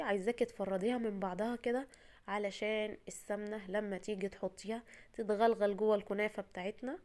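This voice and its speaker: A woman's voice: medium pitch, a little mellow. A woman from Egypt with an Egyptian Arabic accent, her voice tired from a cold, chattily and reassuringly talking the viewer through a recipe as a home cooking host. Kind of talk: casual step-by-step cooking tutorial.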